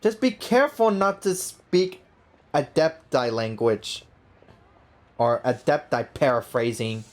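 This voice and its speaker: A woman's voice: extremely low pitch, expressive, slow pace, slight background noise. A woman giving casual, slangy and sympathetic reaction commentary over gameplay as a let's play host. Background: soft game music.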